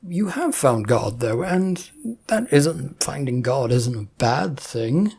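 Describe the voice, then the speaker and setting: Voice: mid-range voice, lively intonation, slow, gravelly, darkish tone, slightly rough, full character voice. A narrator voicing a smug, evasive god with a grandiose, dismissive manner in a story reading. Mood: defensive, huffy. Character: smug, breezy, evasive god founding his own religion.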